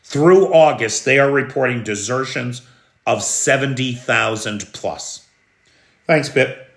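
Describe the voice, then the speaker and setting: A slow-talking American man hosting a live news stream, with a bright, forceful edge to his voice. He takes his time, answering viewers' comments as he goes. His voice rings in an echoey room. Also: medium pitch. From United States. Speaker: news livestream host. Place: room in Kyiv during air alert.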